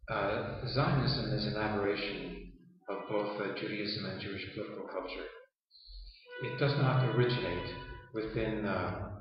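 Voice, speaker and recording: Brief: man, first language Yiddish, halting lecture delivery, low flat voice, far from the mic in a roomy space. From Canada. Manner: measured, halting with frequent uh pauses. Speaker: interviewee. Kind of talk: lecturing interview answer. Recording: roomy, distant, dull lo-fi sound.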